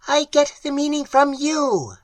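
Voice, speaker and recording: A man voicing a strange ice creature that talks in a shrill, clicking voice, giving flat, literal and ungrammatical answers. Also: measured pace. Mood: flat mimicking.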